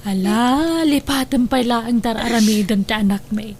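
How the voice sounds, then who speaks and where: A woman's voice: fairly high pitch, normal speed, a little mellow, a little hiss or hum, lightly acted. A woman playing a caring, soothing female relative in an Ilocano radio drama. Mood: soothing, concerned.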